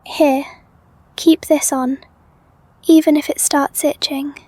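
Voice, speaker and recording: A woman's voice: high-pitched, level tone, slow, dry recording. A woman playing a polite, curious and caring young medical assistant in an audio drama. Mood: gently curious. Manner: polite, gently inquisitive.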